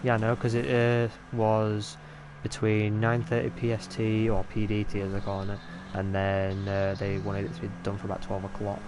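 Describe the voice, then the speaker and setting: A man's voice: on the low side, monotone, normal speed, few pauses. Yorkshire man streaming, game noise behind. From Yorkshire, England. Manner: rambling, loose, swears freely. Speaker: gaming streamer. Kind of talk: casual gameplay commentary.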